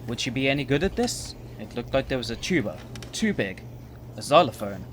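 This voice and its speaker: A man's voice: medium pitch, big pitch swings, fairly quick, slight background noise. A man reading scripted story narration as the narrator of an audio drama.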